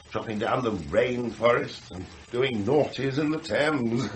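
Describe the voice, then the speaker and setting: Wealthy heir, male, radio drama: flippant, entitled, callous and chatty, middle-pitched, on a faintly hissy recording actor in role. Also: natural voice, poor audio. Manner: breezy, chatty, offhandedly entitled. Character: callous, flippant, wealthy heir, self-satisfied grown son.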